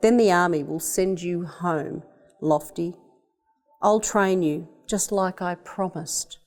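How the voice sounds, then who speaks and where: A woman's voice: fairly low pitch, slow pace, long reverb tail. An Australian woman voicing a heartbroken, pleading young boy in a children's storybook. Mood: sad, hopeful. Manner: earnest, pleading.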